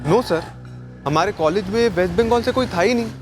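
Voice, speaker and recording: A man's voice: sits high, a little fast, music in the background, lightly acted. An actor playing an earnest young man who is eager to help the police. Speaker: victim's college friend.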